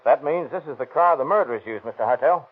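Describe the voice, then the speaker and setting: Old, band-limited radio drama audio with a no-nonsense police detective. His voice is medium in pitch. He fires off terse, businesslike questions. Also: animated, quick pace, slightly rough. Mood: probing. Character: brisk, no-nonsense male police sergeant detective. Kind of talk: 1930s radio drama acting. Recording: tinny, band-limited 1930s radio recording.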